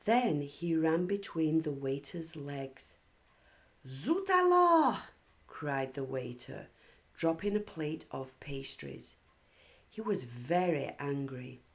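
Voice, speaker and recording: Slow, low-pitched woman reading a children's story. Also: animated, gravelly, dark tone. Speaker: host of a children's read-aloud channel. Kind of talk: children's storybook read-aloud narration.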